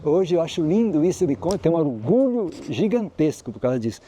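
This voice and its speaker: Dark-voiced Brazilian man, halting storyteller. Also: on the high side, expressive, breathy edge. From Brazil, Espírito Santo. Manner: rambling, halting with restarts. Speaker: local historian guide. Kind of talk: on-site interview, explaining history.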